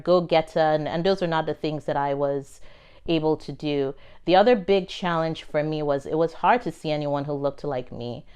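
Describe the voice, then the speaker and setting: A middle-aged woman with a Nigerian accent that carries traces of American and British speech. Her voice sits low and sounds smooth and resonant. She answers interview questions thoughtfully and earnestly. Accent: Nigerian, with American and British touches. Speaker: pharma executive guest.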